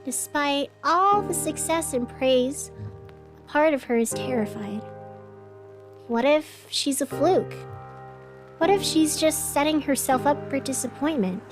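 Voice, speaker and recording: An actress playing an anxious radio host in a scripted fictional broadcast, deliberate and emphatic with strained cheerfulness. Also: female voice, sits high, over music. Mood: earnest reporting. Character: anxious woman radio host insisting everything is fine.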